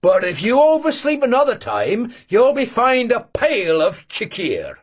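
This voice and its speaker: A man voicing a loud, boisterous old male Cossack hunter who shouts and teases. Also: huge pitch swings, a little slow, full character voice. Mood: bantering. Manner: booming, teasing, shouting.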